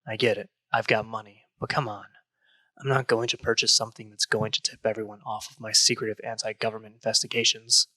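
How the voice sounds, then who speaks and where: A man acts a smug, wealthy investigator boss in an audio drama. His voice is very sharp and forceful, with a raspy edge. The pitch stays fairly low and even, in a dry recording. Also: fast, no room sound, poor audio. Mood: smug, dismissive. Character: smug wealthy male leader of secret investigators.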